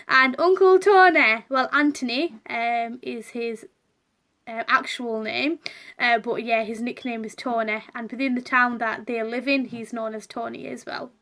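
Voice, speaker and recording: A woman's voice: high-pitched, bright, forceful tone. A young woman talking openly to her viewers in a chatty personal vlog, with lots of ums and restarts. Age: young adult. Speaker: vlogger.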